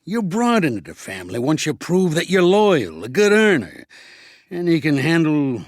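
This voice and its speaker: An actor voicing a shrewd, gruff old American mobster for a video game, streetwise and blunt, with a gravelly, husky voice. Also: male voice, fairly high pitch, huge pitch swings, moderate pace, no room sound, studio quality. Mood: businesslike. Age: older. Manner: streetwise, commanding, blunt, slangy. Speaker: mobster mentor and boxing coach. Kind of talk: video game character acting.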